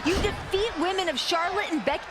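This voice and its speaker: A woman's voice: very high-pitched, a little fast. A woman performing as a wrestling color commentator in a video game, giving live sports commentary. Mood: engaged. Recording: loud arena crowd and noise.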